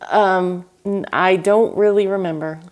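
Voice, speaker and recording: A woman's voice: medium pitch, fairly even intonation, measured pace, a little mellow. A woman speaking as a podcast guest in casual chat, with playful, teasing banter.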